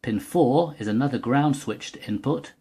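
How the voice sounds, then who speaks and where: A man's voice: medium pitch, a little slow, dark tone, husky. A man giving a methodical, precise step-by-step technical demonstration as a tutorial presenter. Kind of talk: step-by-step hands-on product demonstration.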